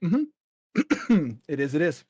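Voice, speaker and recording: A man narrating a tabletop game as game master in a casual, measured, hesitant way. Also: a little high, sing-song, a little mellow, no room sound, clean studio sound.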